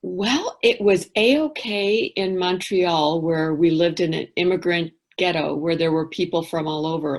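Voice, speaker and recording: Woman, middle-aged, low-ish voice, thoughtful, candid, soft trailing asides. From England. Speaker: guest. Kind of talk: reflective interview talk.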